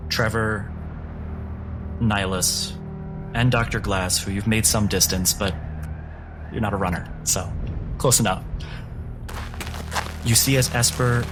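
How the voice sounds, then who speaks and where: Man, game master, low voice, measured descriptive narration, loud background music. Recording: loud music score underneath.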